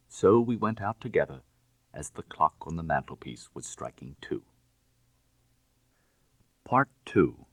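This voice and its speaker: A man narrating classic fiction in the first person, in a measured, literary and dramatic manner. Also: low voice, dry recording. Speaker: audiobook narrator.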